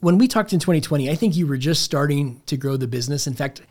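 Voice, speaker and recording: Very low-voiced woman interviewer. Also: expressive, quick pace, a little mellow. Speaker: interviewer, show host. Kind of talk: conversational interviewing.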